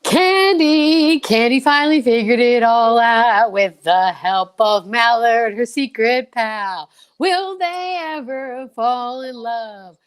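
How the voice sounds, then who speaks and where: A woman's voice: on the high side, animated, slow pace, clear, resonant tone. A woman playing a showy, emphatic announcer signing off an old-time radio serial.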